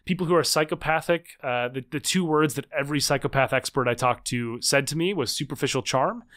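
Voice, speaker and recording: Fast-talking man with frequent restarts. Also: medium pitch, a bit bright. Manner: quick, many restarts and repeated words. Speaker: podcast guest, author. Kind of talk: conversational interview.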